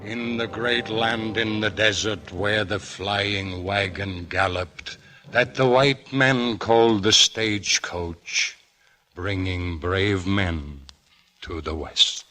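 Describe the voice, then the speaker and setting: A man narrates solemnly in a fairly low voice. His pace is slow and his phrasing grand, like a ballad. Music runs under the old 1940s radio broadcast. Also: bright, forceful tone.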